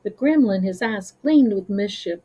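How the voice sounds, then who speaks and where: A woman narrating a children's story as a read-aloud, careful and expressive. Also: medium pitch, normal speed.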